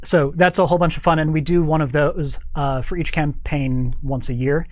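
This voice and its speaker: A man speaking as a guest in a studio chat, explaining things in an animated way. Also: fairly high pitch, normal speed, no room sound. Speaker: guest, game organized play developer.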